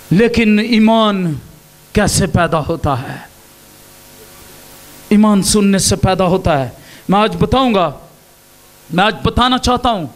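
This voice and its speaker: A middle-aged man with a Pakistani accent, preaching a sermon into a handheld mic on a stage, shouting at the peaks. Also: extremely high pitch. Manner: impassioned preaching, shouting at peaks, raising arms. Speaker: pastor. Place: large indoor hall with stage and pulpit. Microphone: handheld microphone.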